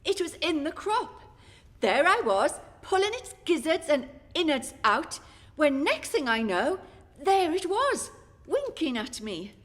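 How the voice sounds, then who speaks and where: A woman plays a humble housekeeper in a radio play. The voice is high and swooping, the words drawn out and deferential, with a long echo around them. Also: very animated, measured pace, a bit bright, exaggerated voice. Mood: amazed. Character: humble, wonder-struck female housekeeper. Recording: echoing hall-like reverb.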